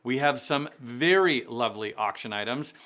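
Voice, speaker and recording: A man hosting an online charity gala, upbeat and effusive, greeting viewers warmly in a chatty way. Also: medium pitch, expressive, moderate pace. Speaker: event host.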